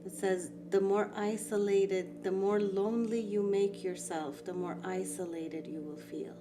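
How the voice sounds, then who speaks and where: A woman whose first language is Farsi, giving a calm, reflective guided art lesson with soft emphasis. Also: mid-range voice, measured pace, noisy background. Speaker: art therapy instructor.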